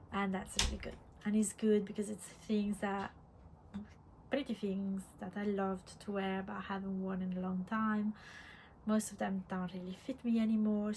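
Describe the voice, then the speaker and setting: A woman from Italy with an Italian accent chatting casually as host of a vlog, halting and reflective, often repeating words. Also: medium pitch, darkish tone, low-quality recording. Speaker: podcast host, crafter. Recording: clear background room noise.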